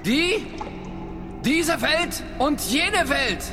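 A woman's voice: high-pitched, lively intonation, very slow, full character voice. A performer playing a cocky young gamer kid in a radio play, with cool, slangy, offhand delivery by a female-sounding voice. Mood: offhand, curious. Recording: long reverb, loud music.

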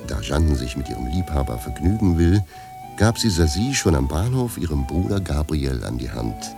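Extremely deep, gravelly male narrator. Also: very animated, normal speed, over music. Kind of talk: scripted radio play narration, wry.

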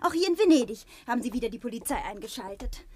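High-voiced, devoted wife. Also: female voice, animated, quick pace, slightly rough, dry recording. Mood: cool, determined. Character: devoted, anxious but stubborn wife of a thief. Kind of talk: 1960s German radio crime drama acting.

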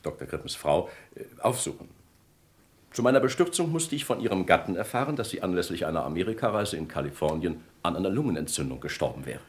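An actor playing a suspicious, insistent gentleman in a German crime radio play, formal and pointed. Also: sits low, big pitch swings, fast talker. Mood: grave, explanatory. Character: suspicious, insistent gentleman reporting a friend's death.

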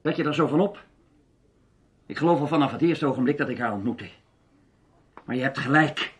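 A man's voice: medium pitch, fairly quick, husky, lightly acted. An actor playing an urgent, emphatic, hot-blooded man in love in a radio play. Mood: urgent, earnest.

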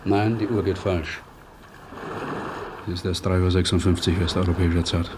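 A man's voice: low voice, fairly even intonation, moderate pace, noisy background, lightly acted. A man playing a curt, coldly formal British naval commander with precise, sarcastic politeness in a German radio play. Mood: irritated sarcasm.

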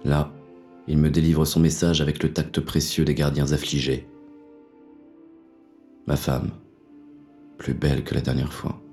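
A man's voice: rumbling low, monotone, measured pace, pauses often, dark tone, faint music behind. A man narrating in the first person as a weary, grim inspector in an audio drama. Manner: weary, grim inner monologue.

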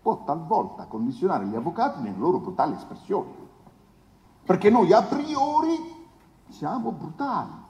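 An actor playing a pompous, condescending defence lawyer, declaiming a florid theatrical closing speech with dramatic pauses and rhetorical questions. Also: male voice, sits high, big pitch swings, darkish tone. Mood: indignant, sardonic. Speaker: actor in a stage adaptation. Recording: echoey hall with long reverb.

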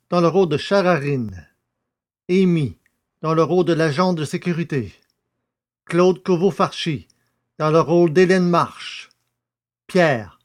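A man reading scripted narration, introducing an audio drama as its narrator. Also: a little high.

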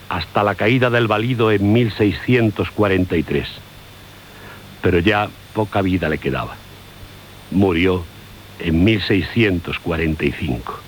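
A man's voice: medium pitch, a bit bright, low-quality recording. A man reading solemn literary radio narration in a grave, declamatory manner. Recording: hissy old radio recording.

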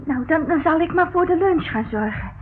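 A woman's voice: very high voice, lively intonation, moderate pace. An actress playing a naive, flustered young servant girl in a radio play, hesitant and deferential. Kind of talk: radio play acting in Dutch. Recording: muffled, narrow-band, noisy old radio recording.

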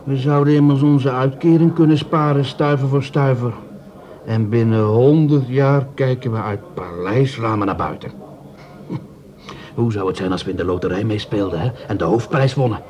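An actor playing a down-to-earth, sceptical jobless bricklayer who likes food and drink, blunt and plain-spoken, in a radio play. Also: male voice, mid-range voice, normal speed, a little mellow, muffled recording, natural voice. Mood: wistful. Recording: café crowd behind the voice.

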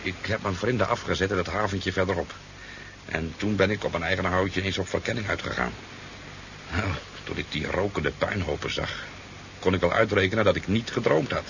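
Low-voiced rambling fisherman. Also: fairly quick, creaky. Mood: earnest. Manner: hesitant, rambling storytelling with stammers. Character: talkative, easygoing sport fisherman, a boozy eyewitness. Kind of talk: 1970s Dutch radio drama acting. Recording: dull, noisy 1970s radio recording.

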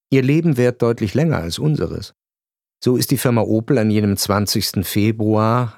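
Male narrator for a literary radio drama, low-set voice, dry studio read, measured and ironic. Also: darkish tone, dry recording. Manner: measured, ironic storytelling with deliberate pauses.

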